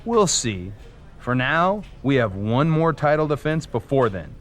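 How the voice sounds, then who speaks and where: A lively, self-assured wrestling star. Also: male voice, medium pitch, measured pace. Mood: challenging. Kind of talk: video game cutscene acting.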